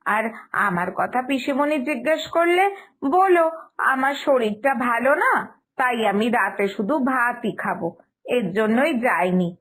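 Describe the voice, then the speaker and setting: A woman's voice: fairly high pitch, animated, a little slow, fairly bright tone. A woman voicing a fussy, stubborn older mother-in-law in a cartoon story, explaining herself firmly. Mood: firm, dismissive.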